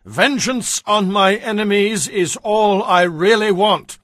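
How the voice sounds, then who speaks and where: A man's voice: high voice, slow pace, a bit bright, no echo, studio quality. An actor playing a jealous, scheming king in a dramatized audio Bible, with a theatrical, emphatic manner. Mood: falsely gracious, commanding. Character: jealous, scheming king plotting a rival's death.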